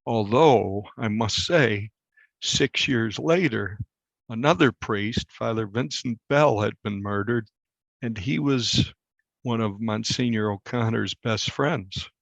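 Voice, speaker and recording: A man working as a reporter, telling a story conversationally in an interview in a measured, explanatory way. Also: fairly low pitch, creaky, no room sound, highs cut off. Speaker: newspaper reporter being interviewed.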